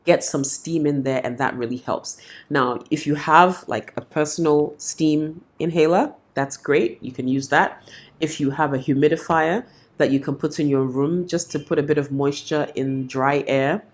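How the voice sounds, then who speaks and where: A woman with an unusually deep, low voice explains practical tips as a vocal coach. She has a cold, yet keeps her delivery friendly and clear. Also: moderate pace. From Ghana. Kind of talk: instructional talk to camera.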